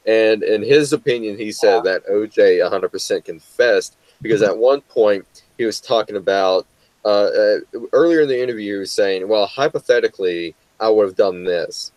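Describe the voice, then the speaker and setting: A man's voice: fairly low pitch, normal speed, darkish tone, no echo, low-quality recording. A young American man co-hosting a casual talk show, rambling with lots of fillers and restarts. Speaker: co-host. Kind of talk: casual chat.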